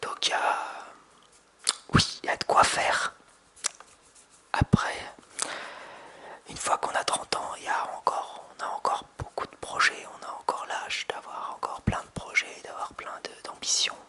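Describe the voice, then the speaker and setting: Slow whispering man in his thirties. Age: 30s. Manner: soft whisper with hesitant restarts. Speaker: ASMR creator. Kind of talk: ASMR whispering. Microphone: close binaural mic with hand sounds.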